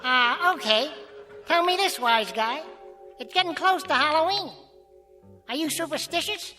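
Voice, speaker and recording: A cheeky little male ventriloquist's dummy, very high and sharp. He squawks wisecracks like a brash radio play wise guy, with faint music behind. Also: lively intonation, unhurried, exaggerated voice. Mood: needling.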